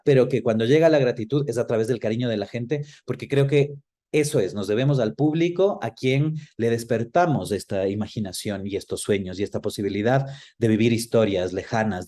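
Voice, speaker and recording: A man with a dark, mellow voice at a middling pitch. He talks reflectively in an interview, rephrasing and feeling his way toward the right word. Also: moderate pace. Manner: thoughtful, searches for words and rephrases. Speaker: interview guest, actor.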